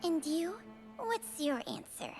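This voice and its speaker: A woman's voice: very high-pitched, lively intonation, slow, music in the background, exaggerated voice. A woman voicing an ancient, world-weary female snake spirit in a fantasy game, knowing, formal and resigned. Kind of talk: scripted fantasy game acting.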